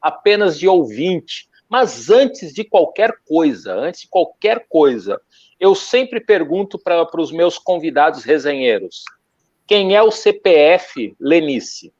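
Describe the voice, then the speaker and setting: A man hosting an interview, relaxed and slangy, repeating words as he searches for them. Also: a little high, takes it slowly, no echo. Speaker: host. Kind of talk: casual interview chat. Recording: dull, dry sound.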